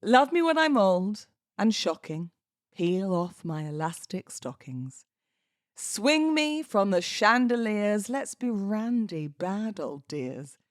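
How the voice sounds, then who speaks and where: A British woman hosting a podcast, moving between informal talk and expressive readings of verse. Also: medium pitch, takes it slowly, no room sound, studio quality. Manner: chatty, informal, giggly. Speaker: podcast host. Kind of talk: casual podcast chat with dramatic poetry readings.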